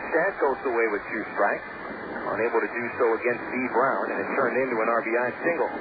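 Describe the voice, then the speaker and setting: A male radio announcer calling a baseball game in a steady, measured play-by-play style. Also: mid-range voice. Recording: tinny AM radio broadcast with loud hiss.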